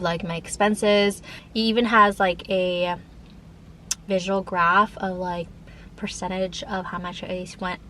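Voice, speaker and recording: A woman's voice: mid-range voice, fairly bright tone. Casual thirty-year-old woman vlogging, background noise. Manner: informal, rambling, frequent filler "like". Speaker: lifestyle vlogger. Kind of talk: casual chat to camera.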